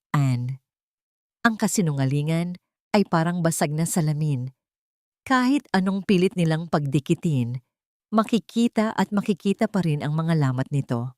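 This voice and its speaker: A woman's voice: deep voice, darkish tone, no echo, studio quality. A woman narrator reading the part of a protective, caring male boss in an earnest, reassuring way, with a Filipino accent.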